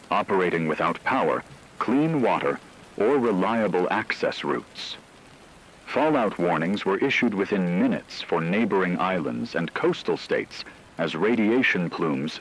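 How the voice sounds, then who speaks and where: A man playing a grave wartime radio news anchor, reading a scripted emergency broadcast in a solemn, measured, formal way. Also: sits low, slight background noise, poor audio.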